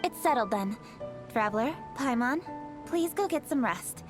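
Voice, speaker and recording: A woman's voice: a little high, expressive, normal speed, natural voice. A woman voicing a poised, dutiful young woman official in a video game, speaking formally and with self-assurance. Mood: firm, determined. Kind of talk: English video game voice acting. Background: background music.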